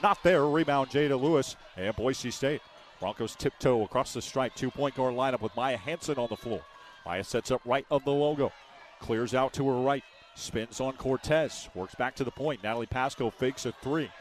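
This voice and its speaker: Male sports radio play-by-play commentator, medium pitch, live basketball call, short descriptive phrasing. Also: normal speed.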